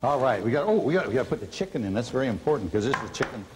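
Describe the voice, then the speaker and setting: A man's voice: mid-range voice, big pitch swings, rapid-fire, noisy background. An older man hosting a comic cooking show, folksy and rambling, with a dry sense of humor.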